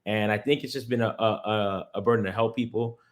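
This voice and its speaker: An American man in his thirties, from Miami, speaks in a fairly low voice as an interview guest. He sounds like a professional breaking his field down for ordinary people. His delivery is conversational and earnest, moving step by step. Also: fairly even intonation, brisk. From Miami, United States. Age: 30s. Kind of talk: casual explanatory interview talk.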